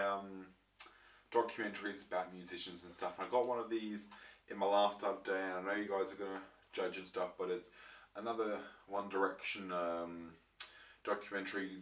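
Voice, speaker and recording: A young man with a croaky voice from a throat infection, chatting casually to his viewers with lots of ums and restarts. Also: deep voice, a bit bright. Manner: rambling, many ums and restarts. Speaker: vlogger showing his disc collection. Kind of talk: casual chat to camera. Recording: roomy, mic far off, faint noise.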